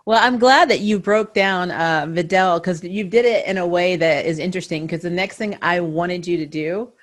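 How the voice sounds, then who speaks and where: A woman's voice: on the low side, normal speed. A middle-aged woman hosting a casual, chatty interview. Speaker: interview host. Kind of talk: casual chat.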